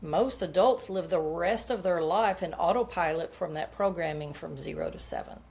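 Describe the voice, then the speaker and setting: Low gravelly-voiced woman coach. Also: moderate pace, a little mellow. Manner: earnest, explains with homely metaphors. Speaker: subconscious coach, guest. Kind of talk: podcast interview answers.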